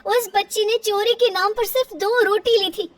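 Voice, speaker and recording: A woman's voice: extremely high pitch, steady tone, moderate pace, recorded from a distance, voice pushed up. A woman voicing a kind, protective, principled village mother in a moral-story cartoon. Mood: indignant.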